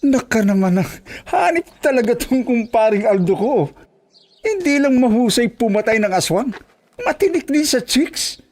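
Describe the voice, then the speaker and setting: Casting: male actor as boisterous wisecracking sidekick, high voice, teasing delivery, for horror audio drama. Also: normal speed. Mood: jovial, teasing. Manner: teasing, animated banter. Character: Edgar, joking, boisterous male best buddy.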